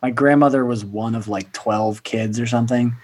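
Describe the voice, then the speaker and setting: A man's voice: medium pitch, steady tone, moderate pace, darkish tone. A man in casual podcast chat, rambling with lots of fillers and restarts.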